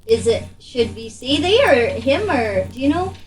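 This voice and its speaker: A woman's voice: high voice, very animated, unhurried, slight background noise. A woman chatting casually as a player in a tabletop game. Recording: slightly distant mic.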